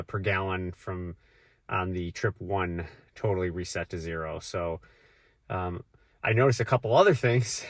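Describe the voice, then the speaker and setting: Low-voiced man with a cold, rambling. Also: no echo, clean studio sound. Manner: rambling, with many fillers and restarts. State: has a cold, voice deeper than usual. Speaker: vlogger. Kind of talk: casual vlog talk.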